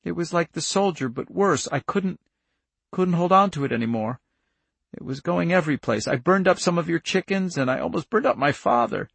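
A man's voice: a little high, lively intonation, normal speed, gravelly, a bit bright, breathy edge, no room sound, voice pushed up, heavily acted. A man voicing a frightened, guilt-stricken little girl in an audiobook story, plaintive and miserable.

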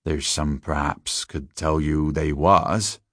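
A man's voice: very deep voice, fairly even intonation, slow pace, fairly bright tone, no echo, clean studio sound, exaggerated voice. A man voicing an old country shepherd with a rural English accent, grave and plain-spoken, giving folksy, emphatic warnings in a storybook reading. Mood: grave, eerie.

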